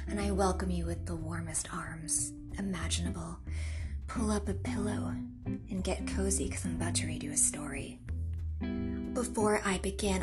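A woman hosting a story podcast, chatting informally and narrating with theatrical delivery. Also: fairly low pitch. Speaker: podcast host and reader.

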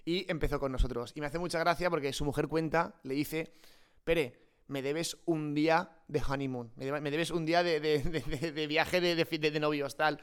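A man with a fairly high voice and a Peninsular Spanish accent talks as a guest in an unscripted interview. He tells his stories in an informal, colloquial way. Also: steady tone, moderate pace, clean tone. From Spain. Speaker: podcast guest, startup co-founder. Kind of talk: improvised conversational interview.

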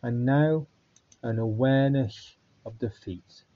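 Slow-speaking man guiding meditation. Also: medium pitch, muffled recording. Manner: calm, unhurried, with long pauses. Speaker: meditation guide. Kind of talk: guided body scan meditation.

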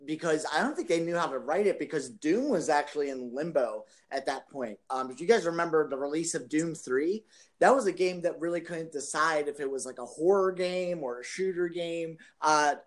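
A man's voice: fairly high pitch, moderate pace. A man co-hosting a podcast in a casual style, explaining his opinions informally. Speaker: podcast co-host.

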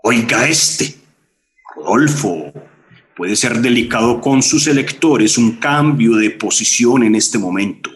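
An actor with a Colombian accent playing a cautious, dismissive crony of a politician in a radio drama. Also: male voice, mid-range voice, animated, slow pace, husky. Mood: wary.

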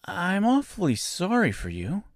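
Storybook little girl, acted by a man: sweet, courteous, curious, raised pitch, unhurried, dry close sound. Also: huge pitch swings, dry recording, exaggerated voice. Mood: sympathetic.

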